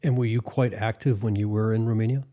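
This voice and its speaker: A man's voice: on the low side, steady tone, fast, dark tone. A man asking questions and responding as the interviewer in a conversational interview.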